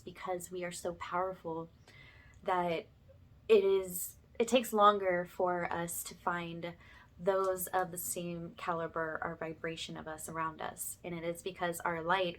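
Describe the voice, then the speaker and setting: A woman with a slightly low voice speaks directly to her audience as a spiritual healer. Her delivery is earnest and reassuring, in the style of an ASMR monologue, with open affection for the listeners. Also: fairly bright tone.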